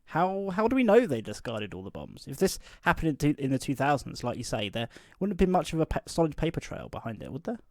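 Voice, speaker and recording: A man playing a polite podcast host in a fiction interview, measured and a little halting. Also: a little high, sing-song, dry recording, studio quality. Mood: questioning.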